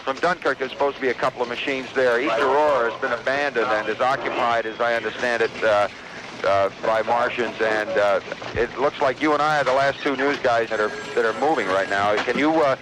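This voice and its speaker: A male actor plays a tired, anxious radio news anchor holding the studio together in an invasion drama. He talks in a halting, unscripted-sounding way, circling back on phrases. His mid-pitched voice comes through a noisy old radio broadcast. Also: lightly acted. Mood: uneasy. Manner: hesitant, halting delivery with repeated phrases.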